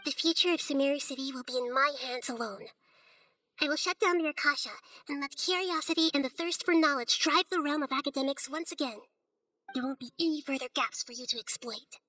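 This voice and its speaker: Casting: shrewd little goddess of wisdom, high bright voice, calm, articulate, firm game delivery. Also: female voice, brisk, dry recording. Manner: poised, articulate, quietly firm. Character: small, childlike female god of wisdom, calm and shrewd. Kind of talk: video game cutscene acting. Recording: studio voice over game music.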